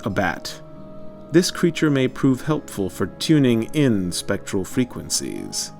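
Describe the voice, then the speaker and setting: Guy narrating a script, kinda low, very expressive, theatrical, faint music under it. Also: slow, creaky.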